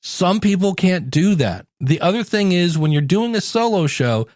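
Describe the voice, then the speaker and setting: An American man with a fairly high voice talks as a podcast host and coach. He explains things conversationally, speaking straight to the listener. The sound is dry and close, as if at a desk microphone. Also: expressive, normal speed, a little mellow, no room sound. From United States, Ohio. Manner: conversational, addresses the listener directly. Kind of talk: solo podcast talk, teaching.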